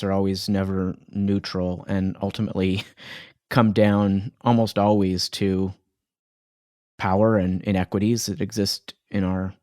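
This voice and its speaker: Low-voiced male podcast host, dry sound. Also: a little mellow, no room sound. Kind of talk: interview questions.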